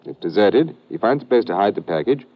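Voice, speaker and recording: British police inspector, male actor: methodical, courteous, animated intonation, vintage radio play, dull lo-fi sound. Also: on the low side, natural voice. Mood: thoughtful. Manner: measured, hesitant, thoughtful. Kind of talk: old-time radio drama acting.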